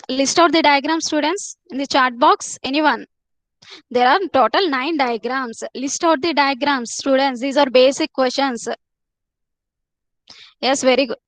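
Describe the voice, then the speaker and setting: A woman teacher leads a live online class, her voice sitting high. She repeats herself often and coaxes students to answer. The sound is dry and close, picked up by a computer mic. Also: a bit bright, no echo, rough recording. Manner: repetitive, coaxing students to answer.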